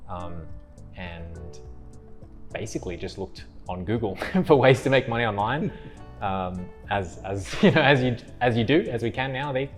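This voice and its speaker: A young Australian man, a guest in a casual interview, talking in a relaxed, rambling way with restarts. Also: fairly low pitch.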